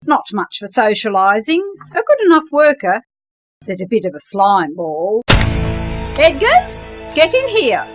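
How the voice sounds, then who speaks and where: A brisk, bossy warehouse owner , acted by a woman, gives orders in a radio play. Her high voice swings widely in pitch and sounds no-nonsense. Faint music sits underneath. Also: huge pitch swings. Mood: annoyed, commanding. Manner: no-nonsense, commanding.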